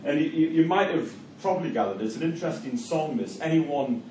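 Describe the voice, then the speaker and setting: A man's voice: on the high side, animated, fairly quick, warm tone. A middle-aged man with a Geordie accent from Newcastle gives a university lecture and leads a class discussion, restarting phrases and using fillers often. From Newcastle, England. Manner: hesitant, repeats words, many fillers. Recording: echoey room from far off, faint noise.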